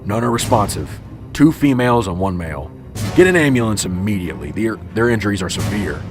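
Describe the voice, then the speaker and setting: A man's voice: lowish voice, animated, fairly quick, music in the background, natural voice. A man playing a stern, urgent, authoritative police officer in a radio drama. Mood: grim urgency. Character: stern, commanding veteran male police officer.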